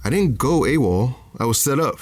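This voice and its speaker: A man's voice: mid-range voice, big pitch swings, normal speed, dry recording. An actor playing a hunted male soldier who argues defensively with his former allies. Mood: tense. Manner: tense, defensive.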